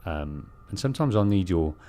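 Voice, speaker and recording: Deep-voiced British man streaming a game. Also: very animated, moderate pace, dark tone. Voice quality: deep, bassy. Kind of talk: let's play commentary, storytelling. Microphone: close bass-heavy streaming microphone.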